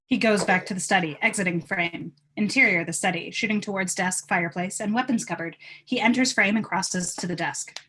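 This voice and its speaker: A woman's voice: medium pitch, brisk, hardly any pauses, background noise. A woman reading out stage directions as scripted narration at a live table read.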